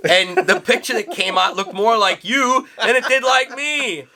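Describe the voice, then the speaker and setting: A man's voice: sits high, moderate pace, pushed and bright. A man in his forties with a Pennsylvania Dutch accent, chatting casually and joking as co-host. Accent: Pennsylvania Dutch-influenced American English. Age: 40s. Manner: folksy, joking, talks in restarts. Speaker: co-host, high school teacher. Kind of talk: casual chat.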